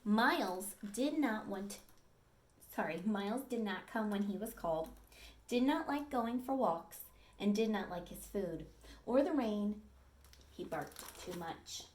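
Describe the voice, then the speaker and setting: A woman teacher reading a picture book aloud to children, with expressive storytelling and friendly asides to her listeners. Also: medium pitch, unhurried.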